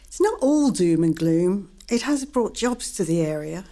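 A woman's voice: lowish voice, sing-song, a little slow, warm tone. A woman acting in a radio play as a practical, community-minded townswoman. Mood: concerned. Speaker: community theatre actor.

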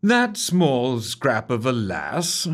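A man voicing a cheerful, curious gentleman writer with a polished, genteel manner. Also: mid-range voice, huge pitch swings, drawn-out words, full character voice. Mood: eager.

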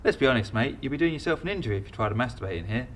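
A man's voice: medium pitch, lively intonation, rapid-fire, slight background noise, close to an everyday voice. A man playing a chirpy, teasing British best mate, mock-encouraging, in a comedy audio drama. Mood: sarcastic.

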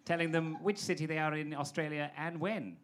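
A man plays a brisk, deadpan official with a slightly high voice. Loud noise runs behind the recording. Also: natural voice.

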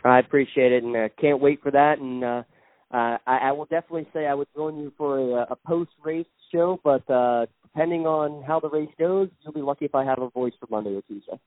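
A man speaks as a guest over the phone, talking freely but with lots of ums and restarts. His voice is at an ordinary pitch. The line is tinny and dry. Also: a little fast, dry recording. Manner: chatty, hesitant, with many fillers. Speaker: guest, racing fan. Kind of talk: casual phone interview.

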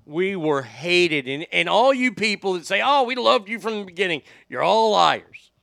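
High-voiced, gravelly, bright radio man. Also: normal speed, no room sound, clean studio sound. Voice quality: high voice without much bass. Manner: profane, animated storytelling with quoted voices. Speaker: radio host. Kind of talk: casual storytelling podcast.

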